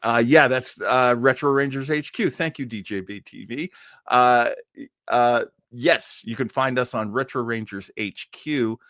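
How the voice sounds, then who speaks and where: A man's voice: medium pitch, unhurried, a bit bright, no echo, clean studio sound. A man hosting a livestream, chatting casually with viewers in a rambling, stop-start way. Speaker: host of a livestream show.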